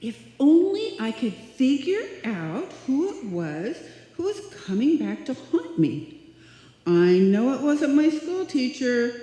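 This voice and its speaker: An actress playing a naive, dopey young secretary with a guileless manner in a live radio comedy. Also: female voice, high-pitched, big pitch swings, slow, mellow, full character voice. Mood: naive, earnest. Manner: guileless, dim-witted delivery. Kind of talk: live old-time radio comedy acting. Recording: echoey hall, live stage performance.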